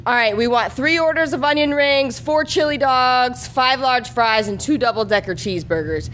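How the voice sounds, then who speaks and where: A woman voicing a crude, loudmouthed young male stoner who swears and talks in slang, in a live comic story reading. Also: high voice, moderate pace, a little hiss or hum, pitched above the performer's own voice, poor audio. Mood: demanding.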